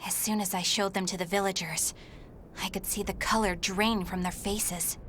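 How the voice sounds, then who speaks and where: A woman's voice: mid-range voice, steady tone, moderate pace, background noise, lightly acted. A woman playing a feisty, stubborn young warrior in a video game story, speaking with a spirited, defiant manner. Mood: reflective narration. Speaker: protagonist and narrator of game story.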